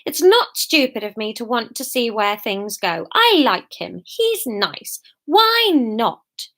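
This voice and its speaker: A woman's voice: fairly high pitch, big pitch swings, takes it slowly, a bit bright, heavily acted. A woman voicing a hopeful young woman in an audiobook. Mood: defensive, hopeful. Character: Emma, hopeful young woman reassuring herself. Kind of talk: audiobook character dialogue.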